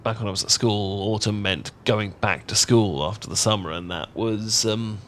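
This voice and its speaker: A man's voice: on the low side, fairly even intonation, normal speed, fairly bright tone, a little hiss or hum, lightly acted. A man with an English accent acting a bashful, affectionate young roommate in an intimate ASMR roleplay, chatty and stammering when flustered. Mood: fond, reflective. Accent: British, English. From England. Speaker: audio roleplay voice actor.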